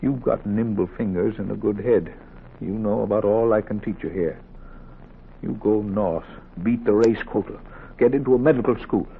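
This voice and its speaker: An actor playing a gruff, grieving, weary middle-aged doctor in a 1940s radio drama, with a gravelly, husky voice. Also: male voice, fairly low pitch, moderate pace, slight background noise. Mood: sorrowful, earnest. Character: gruff, grieving older Southern doctor and surgery teacher. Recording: tinny old 1940s radio recording.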